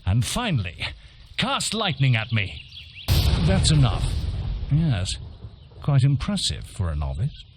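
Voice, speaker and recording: An actor playing a stern guild instructor in a fantasy game, commanding and condescending, with clipped phrasing. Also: male voice, mid-range voice, huge pitch swings, slow, long pauses, background noise, full character voice. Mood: stern, testing.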